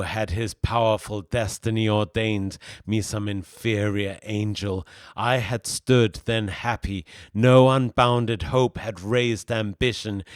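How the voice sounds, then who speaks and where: A man's voice: mid-range voice, clean tone, dry recording, lightly acted. A man voicing a proud, bitter, anguished fallen devil in a declamatory, brooding dramatic verse soliloquy.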